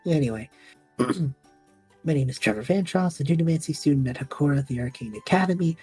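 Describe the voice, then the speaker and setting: A woman plays a young male student at a magic academy in an audio drama. The voice is deep for a woman, soft-edged and husky. He rambles and second-guesses himself, flustered and sarcastic, over background music. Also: expressive, normal speed. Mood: flustered rambling. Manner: rambling, self-interrupting, flustered. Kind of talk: audio drama acting, monologue and banter.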